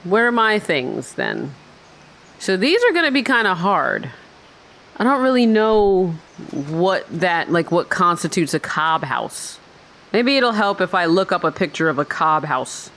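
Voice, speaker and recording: A woman giving casual, chatty let's-play commentary on a video game, thinking out loud as she goes. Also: lowish voice, big pitch swings, a little slow. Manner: rambling, thinking aloud, chatty. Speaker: gamer narrating her own playthrough.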